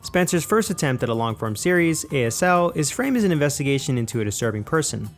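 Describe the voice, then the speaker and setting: Guy doing film critique voiceover, opinionated, pitch moves around a lot, brisk talker. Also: very animated. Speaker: video essayist. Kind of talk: scripted video essay narration, film criticism. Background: background music.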